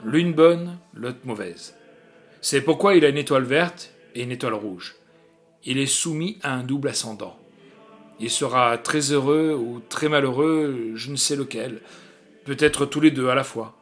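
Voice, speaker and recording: A man voicing a grave old bearded mage, speaking in a solemn, measured storybook manner. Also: medium pitch, soft background music, natural voice. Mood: solemn, portentous. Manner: solemn, measured, portentous delivery. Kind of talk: French literary storybook reading.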